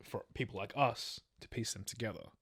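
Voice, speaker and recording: Low-voiced man narrating true crime, close dry sound. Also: a little fast, fairly bright tone, husky, dry recording. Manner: stumbles over wording and corrects himself, swears casually. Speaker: true crime podcast co-host. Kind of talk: semi-scripted case narration with casual chat.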